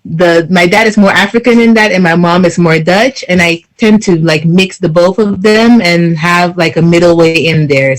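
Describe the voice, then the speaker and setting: High-voiced man telling stories. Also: poor audio. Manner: lively storyteller, mimics his parents. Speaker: panelist. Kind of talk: casual discussion.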